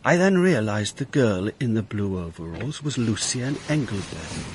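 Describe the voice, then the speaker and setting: An actor playing a weary, dogged police detective in a British radio drama, with a dry, wry manner. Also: male voice, lowish voice, sing-song, normal speed, noisy background. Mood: weary, reflective. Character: weary, dogged male Dutch police detective, narrating his case.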